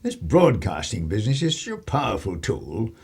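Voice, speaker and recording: A man's voice: fairly low pitch, big pitch swings, moderate pace, gravelly, darkish tone, breathy edge, exaggerated voice. A man playing a pompous, scornful Conservative army captain from 1930s England in a radio play, with an English accent. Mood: scornful, blustering. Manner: pompous, blustering.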